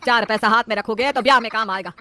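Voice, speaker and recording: A woman plays an elderly mother-in-law from a cartoon family tale, high-voiced and quick. She nags and scolds stubbornly, pressing her point with sharp questions, over noticeable background noise. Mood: scolding, insistent. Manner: scolding, insistent, rhetorical questions.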